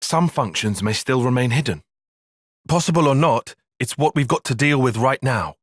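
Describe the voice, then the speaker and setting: A man's voice: medium pitch, lively intonation, normal speed, no echo, clean studio sound, close to an everyday voice. A man playing an earnest, analytical young soldier in a fantasy video game, speaking with precise, formal phrasing. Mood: serious, analytical. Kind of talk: video game cutscene acting.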